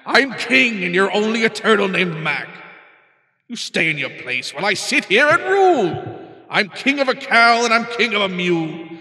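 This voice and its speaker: A man voicing a pompous, bossy male turtle king in a storybook reading, loud and boastful. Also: high voice, huge pitch swings, measured pace, voice pushed up, exaggerated voice. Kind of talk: storybook read-aloud. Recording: long hall reverb.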